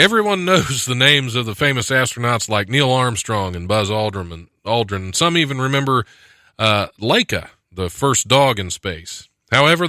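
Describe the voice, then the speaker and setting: A man's voice: mid-range voice, bright, forceful tone, no room sound, clean studio sound. A man hosting a casual comedy podcast, reading news items and breaking off into sarcastic, joking asides. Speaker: podcast host. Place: studio.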